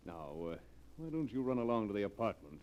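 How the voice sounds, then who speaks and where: A ruthless, self-satisfied financier from a 1940s radio play. His theatrical, blustering delivery swings widely in pitch, and the old recording sounds dull. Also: sing-song, fast. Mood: smug, menacing. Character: smug, ruthless millionaire financier, male. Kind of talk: 1940s radio drama acting.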